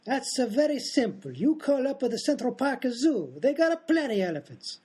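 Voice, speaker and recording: An actor voicing an indignant, boastful Italian high diver in a radio comedy, with an Italian accent and insistent broken-English complaining. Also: male voice, sing-song, normal speed, dark tone, slightly rough, full character voice. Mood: exasperated, complaining. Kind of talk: old-time radio comedy acting.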